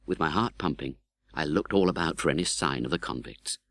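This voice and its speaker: A man's voice: rumbling low, animated, a little fast, gravelly, a bit bright, dry recording, clean studio sound. A man with an English accent reading scripted audiobook narration in a dramatic style.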